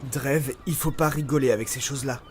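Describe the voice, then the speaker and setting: An actor playing a superstitious, uneasy sailor in an audio drama, with a foreboding, insistent manner. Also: male voice, mid-range voice, steady tone, brisk, a little mellow, a little hiss or hum, close to an everyday voice.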